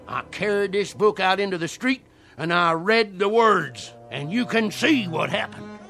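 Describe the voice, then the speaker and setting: A man's voice: high voice, expressive, a little slow, bright, forceful tone, faint music behind, exaggerated voice. An actor playing a fervent old Southern conjure man in a radio play, with a folksy, theatrical rustic way of talking. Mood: fierce, boastful. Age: older. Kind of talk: dramatic radio play acting.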